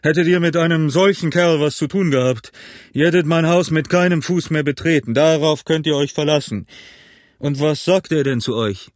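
A man voicing a big, shrewd, jovial tavern keeper in an audiobook, hearty, loud and commanding. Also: medium pitch, a little slow, no room sound, studio quality, lightly acted. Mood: blustering, badgering. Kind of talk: acted audiobook character.

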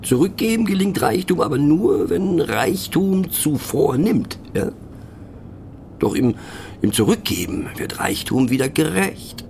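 A man's voice: on the high side, very animated, a little slow, creaky, a little mellow, a little hiss or hum, heavily acted. A man playing a pensive, mocking narrator in a satirical radio play monologue, speaking ironically with conversational, questioning asides. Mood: wry, mocking. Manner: ironic, rhetorical, punctuated with little questioning tags. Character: wry, pensive, mocking male narrator musing on words.

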